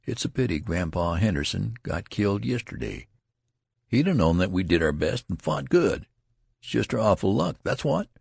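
A young soldier voiced by a man reading a story talks in plain, ungrammatical country fashion, philosophical yet quick to exasperation. The voice swings in pitch and has a husky edge. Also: sing-song, darkish tone, no echo, studio quality, full character voice. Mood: soothing. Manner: plain rustic ungrammatical talk. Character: philosophical young male soldier, soothing but easily exasperated.

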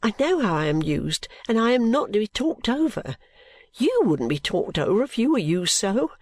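A woman voicing a sulky, overworked young woman who complains abruptly and breaks into pitched outbursts. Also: sing-song, moderate pace, no room sound. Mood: aggrieved.

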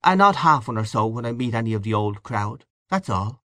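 A man's voice: medium pitch, expressive, a little fast, bright, forceful tone, no room sound, clean studio sound. A man voicing a modest, timid man in an audiobook, speaking in a reserved, earnest way. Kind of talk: audiobook character dialogue.

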